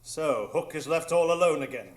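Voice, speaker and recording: A man's voice: a little high, moderate pace, soft background music, close to an everyday voice. A man playing a grandiose pirate villain in a live radio drama, speaking with pompous mock courtesy and theatrical menace. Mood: scornful. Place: small improv theatre stage before an audience.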